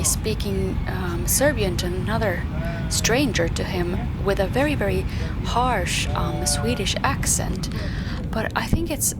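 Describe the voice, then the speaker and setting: Sarcastic woman vlogging in a noisy airport. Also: mid-range voice. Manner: chatty, sarcastic, swears freely. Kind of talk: casual travel vlog monologue. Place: airport terminal.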